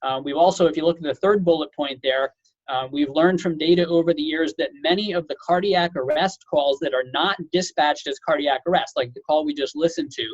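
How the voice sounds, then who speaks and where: Man lecturing informally, slightly high, muffled audio. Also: brisk, breathy edge, dry recording. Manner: conversational, explanatory, with casual asides. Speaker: physician lecturer, medical director.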